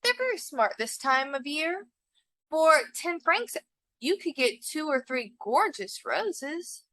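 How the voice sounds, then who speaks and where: A woman's voice: high-pitched, big pitch swings, slow, sharp tone, no room sound, pitched above the performer's own voice, full character voice. A woman voicing an exasperated but well-meaning husband in a storybook, insistent and questioning. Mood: helpful.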